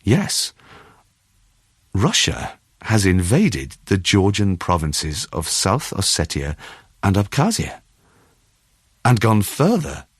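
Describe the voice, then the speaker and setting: A man with a low-pitched voice reads literary first-person fiction. His storytelling is measured and expressive, and the sound is dry, with very little room on it. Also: slightly rough, dry recording. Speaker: audiobook narrator.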